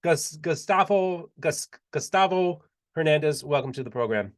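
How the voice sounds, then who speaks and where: A man's voice: a little high, animated, normal speed. A male podcast host chatting casually and enthusiastically, often repeating and restarting his words. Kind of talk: casual interview chat.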